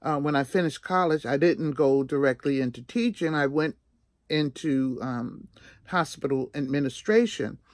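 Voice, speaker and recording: A woman in her sixties telling a personal story in a casual, chatty vlog style, animated and emphatic, often repeating herself for effect. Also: deep voice, normal speed, no room sound. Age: 60s.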